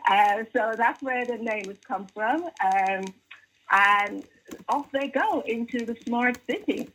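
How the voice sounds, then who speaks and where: A woman's voice: fairly high pitch, takes it slowly, fairly bright tone, slight background noise, low-quality recording. A middle-aged woman from Guyana, a children's author working in tech, answering interview questions earnestly with frequent restarts.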